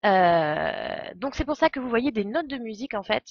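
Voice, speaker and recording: A woman's voice: fairly high pitch, big pitch swings, moderate pace, no room sound, dull audio. A woman streaming a game with casual, enthusiastic and digressive live commentary. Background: game music.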